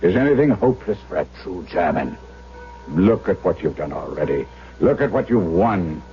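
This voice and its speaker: A muffled vintage radio recording with music underneath carries an older German doctor, kindly and earnest. His deep voice moves expressively through a deliberate, fatherly piece of radio drama. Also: lively intonation. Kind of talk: 1970s radio drama acting, first-person narration.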